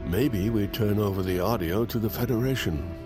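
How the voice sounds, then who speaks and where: A man's voice: lowish voice, normal speed, lightly acted. A man playing a determined, persuasive fugitive investigator in an audio drama. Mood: earnest, persuasive. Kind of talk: audio fiction acting. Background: music.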